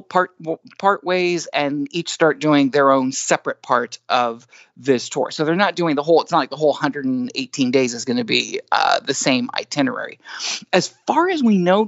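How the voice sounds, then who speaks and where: A man with a fairly high, creaky voice talks through news casually, like a chatty co-host who pokes fun at himself. The sound is close and dry, a little muffled. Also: fairly bright tone, dry recording. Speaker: podcast co-host giving news.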